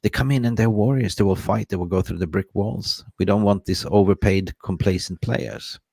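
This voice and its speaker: A man's voice: lowish voice, normal speed, vocal fry, darkish tone, breathy edge, no echo. A man co-hosting a football talk show, passionate and emphatic, pushing his opinions in punchy phrases.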